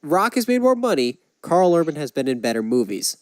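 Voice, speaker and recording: A man's voice: fairly high pitch, very animated, a little fast, no echo, clean studio sound. A man co-hosting a podcast, talking casually about films in an opinionated, emphatic way. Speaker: podcast co-host. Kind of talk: casual film discussion.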